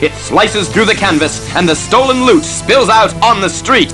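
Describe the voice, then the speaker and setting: Dramatic superhero story narrator, grand and suspenseful, big pitch swings, music under it on old tape. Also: male voice, expressive, normal speed, poor audio. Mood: urgent, dramatic. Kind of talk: scripted audio drama narration. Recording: cassette audio with music behind.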